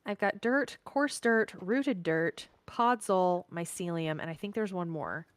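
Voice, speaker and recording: A woman's voice: fairly low pitch, unhurried, dry recording. A woman from southern Missouri with a mild Midwestern accent, chatting casually and laughing often while streaming a game.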